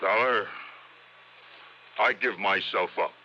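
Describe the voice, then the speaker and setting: An actor playing a dim, belligerent working-class American crook in a golden age radio drama, slangy and ungrammatical. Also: male voice, on the low side, slow, heavy background noise. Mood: defeated. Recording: dull old radio recording.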